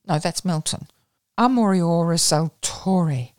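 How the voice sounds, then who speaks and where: A woman doing a hoarse, drunk, grieving man. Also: lowish voice, slow, darkish tone, no room sound, studio quality. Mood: maudlin. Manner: maudlin, rambling. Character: grieving, drunk young male poet.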